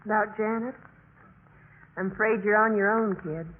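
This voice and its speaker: A woman's voice: medium pitch, fairly even intonation, moderate pace, heavy background noise, natural voice. An actress playing a wry, offhand young American college woman in 1950s radio drama style. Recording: tinny 1950s radio recording.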